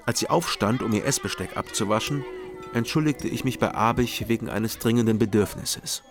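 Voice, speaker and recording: An actor in a radio drama playing a dry, guarded East German investigator, speaking in an understated, matter-of-fact way. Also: male voice, lowish voice, moderate pace, natural voice. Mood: detached, reflective. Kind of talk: radio drama first-person narration and dialogue. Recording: loud music behind the voice.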